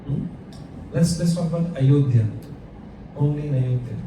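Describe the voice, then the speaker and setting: A man's voice: on the high side, moderate pace. A man leading a devotional group discussion, asking questions and laughing often, recorded from far off in an echoey room. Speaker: discussion leader. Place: room at a home gathering. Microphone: far from mic. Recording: echoey room, far mic, background noise.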